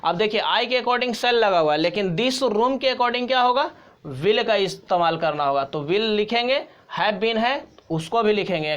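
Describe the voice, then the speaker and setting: Indian guy doing a grammar tutorial, really slow pace, goes over key points again. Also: high-pitched, fairly bright tone, clean tone, dull audio. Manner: instructional, repeats key points. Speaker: English grammar teacher. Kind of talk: step-by-step tutorial explanation.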